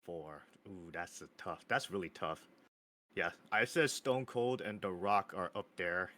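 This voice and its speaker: Thirties guy, podcast guest, unhurried delivery, bright edge to the tone, halting and giggly. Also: deep voice. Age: 30s. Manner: slow, hesitant, laughs often. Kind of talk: casual chat.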